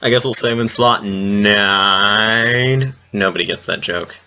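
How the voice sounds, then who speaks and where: A man's voice: fairly low pitch, slow pace, sharp tone, clear, resonant tone. A man giving casual, rambling let's play commentary in an awkward, self-deprecating way, with game music behind him. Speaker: let's player.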